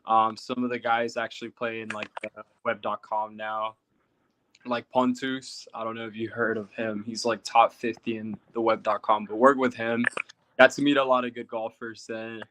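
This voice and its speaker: A man's voice: lowish voice, flat delivery, moderate pace, a bit bright, slight background noise. A young man from Puerto Rico, a guest telling rambling stories in casual chat with many fillers.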